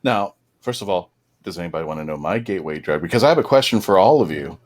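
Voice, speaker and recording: A man's voice: low voice, lively intonation, fast talker. A man telling stories casually as a podcast panelist. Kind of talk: casual storytelling in panel chat.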